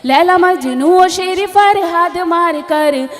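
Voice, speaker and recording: A young woman poet at a stage poetry gathering, reciting couplets, repeating each line and slowly singing the refrains, with quick chatty asides between verses. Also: extremely high pitch, long reverb tail, faint music behind. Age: young adult. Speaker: poet at a mushaira.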